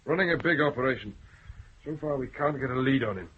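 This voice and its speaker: A blunt senior police officer who speaks firmly and plainly. His voice is a little husky and of middling pitch. The old broadcast has a dull sound with the top end rolled off. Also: animated, quick pace. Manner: plain-spoken, firm. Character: blunt senior male Scottish police chief. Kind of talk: 1970s BBC radio drama acting.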